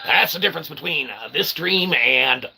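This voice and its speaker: A man's voice: on the high side, lively intonation, moderate pace, creaky, noisy background. A man giving an informal, rambling explainer in a brash, irreverent, self-deprecating way, often checking in with short tag words. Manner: repeatedly tags phrases with "okay" and "all right". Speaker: independent streamer explaining ideas.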